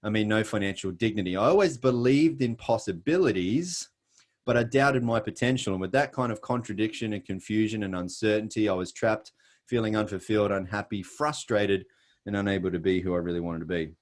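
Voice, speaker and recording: Earnest Australian man, lowish voice. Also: fairly quick. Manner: earnest, emphatic storytelling with self-deprecating jokes. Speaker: presenter. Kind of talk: scripted motivational presentation.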